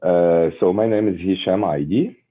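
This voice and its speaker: A man's voice: sits low, normal speed, breathy edge. A man in his forties from Tunisia, a podcast guest talking casually with many hesitant fillers, heard over a phone line. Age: 40s. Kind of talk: casual interview chat.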